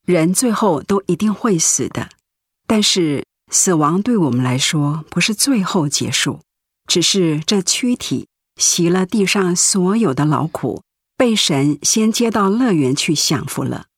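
Husky, gentle ailing mother. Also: lowish voice, expressive, unhurried, dry recording, clean studio sound. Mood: consoling. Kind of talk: Mandarin radio drama acting.

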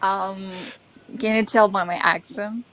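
A woman's voice: medium pitch, steady tone, slow pace, a bit bright. A woman from China chatting casually in English on a livestream, easygoing and teasing. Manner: laughs often, easygoing and teasing. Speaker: guest. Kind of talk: casual chat.

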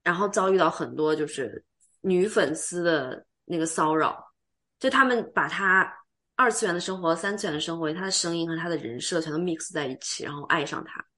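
A woman's voice: on the low side, a little fast, fairly bright tone. A woman co-hosting a podcast, chatting casually and emphatically about her opinions and mixing in English words. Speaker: podcast co-host.

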